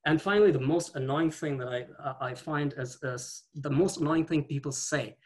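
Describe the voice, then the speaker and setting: A man from India doing a stand-up set on a video call, talking haltingly with repeated words and dry punchlines. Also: a little high. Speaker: stand-up comedian.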